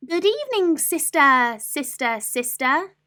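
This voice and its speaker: A woman's voice: high voice, big pitch swings, slow, pushed and bright, pitched above the performer's own voice, exaggerated voice. A woman voicing a clever, coaxing female duck in a storybook. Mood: calling out. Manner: coaxing, persuasive. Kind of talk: storybook character voice.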